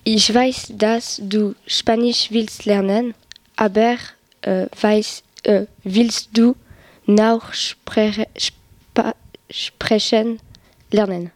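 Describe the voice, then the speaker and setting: A female student asks questions slowly, stumbling and restarting words. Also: medium pitch, steady tone, no echo. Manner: very slow, stumbling over words.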